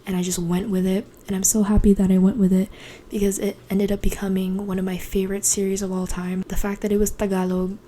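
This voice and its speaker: A young woman from the Philippines, whose first language is Cebuano, rambles through a chatty bookshelf tour late at night. She sounds tired, and her voice often drops to a hushed, breathy tone. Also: medium pitch, little pitch movement, fast, a little hiss or hum. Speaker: book vlogger.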